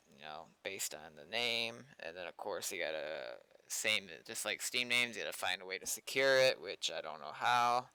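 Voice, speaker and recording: A man's voice: fairly low pitch, pushed and bright, smooth, no echo. A man in a casual gaming livestream, rambling and thinking aloud, close on the microphone. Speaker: game server developer and streamer.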